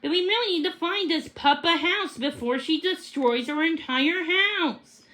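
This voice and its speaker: A practical storybook mother as a female reader voices her, high-pitched and slow-paced. She sounds worried but reasonable. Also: bright, forceful tone, full character voice. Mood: concerned.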